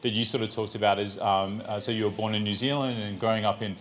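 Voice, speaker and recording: A man's voice: lowish voice, fairly even intonation, quick pace, a bit bright, a little hiss or hum. A man, a gallery director, hosting a live artist talk in a gallery, rambling with many fillers and restarts. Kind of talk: live public conversation. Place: gallery space with an audience. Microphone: handheld microphone.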